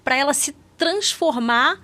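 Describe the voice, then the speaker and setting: A woman's voice: high voice, expressive, measured pace, bright, forceful tone. A Brazilian woman speaking as a medical podcast guest, explaining things conversationally and often checking in with little agreement tags. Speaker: guest doctor, pediatric endocrinologist.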